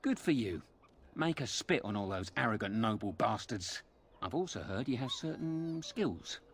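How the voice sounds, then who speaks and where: An actor playing a roguish, chummy criminal fixer in a fantasy game, with a rough, wheedling, familiar delivery. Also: male voice, medium pitch, sing-song, normal speed, a bit bright, a little hiss or hum. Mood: sly, chummy. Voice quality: husky, rough. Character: roguish, chummy male street fixer offering shady jobs.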